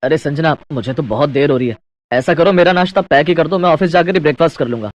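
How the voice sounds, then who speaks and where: A man's voice: on the high side, fast, lo-fi sound, close to an everyday voice. A man playing a practical working husband in a Hindi cartoon family story. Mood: hurried.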